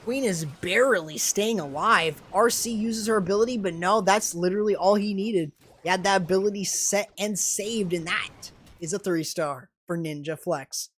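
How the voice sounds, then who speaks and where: A man's voice: sits high, normal speed, few pauses, a little hiss or hum. Young man calling an esports match. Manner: excitable, rising to sudden exclamations. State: voice strained and going hoarse. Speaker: esports commentator and streamer. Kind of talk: live play-by-play game commentary.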